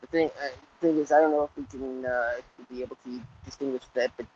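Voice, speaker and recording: A man's voice: mid-range voice, fairly even intonation, fast talker, a little mellow, a little hiss or hum. A man co-hosting a casual wrestling podcast, dry and joking, often restarting his sentences. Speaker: podcast co-host. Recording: dull lo-fi podcast audio.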